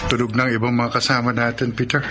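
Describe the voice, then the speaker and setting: A man's voice: mid-range voice, level tone, fast talker, loud music behind the voice, natural voice. An actor playing a caring, anxious seaman father in a Filipino radio drama. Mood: uneasy. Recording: very noisy radio drama audio.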